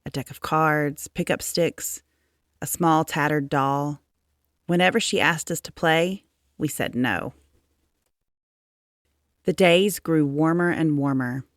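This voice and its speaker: A woman reading a children's story aloud as narrator, in a measured, expressive storytelling manner. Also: sits low, no echo, studio quality. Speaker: storybook reader.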